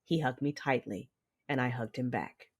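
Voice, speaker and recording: A woman playing a commanding, calculating warlord mother, giving measured first-person narration in an audio drama. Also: rumbling low, breathy edge. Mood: measured, brooding. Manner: measured, imperious.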